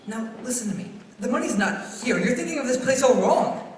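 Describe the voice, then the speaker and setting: A man's voice: extremely high pitch, animated, brisk. A young man playing an earnest, flustered businessman in a stage radio play, stammering and insistent as he repeats himself. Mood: pleading. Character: earnest, flustered young building and loan man, pleading and decent. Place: school theater stage. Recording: echoey hall, distant mic, faint noise.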